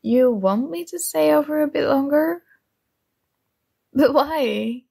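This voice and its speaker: Shy nerdy female student played by a woman for romantic ASMR roleplay; slow, halting, flustered, with vocal fry. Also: high-pitched, mellow, heavily acted. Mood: hesitant, awkward. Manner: hesitant, awkward, halting.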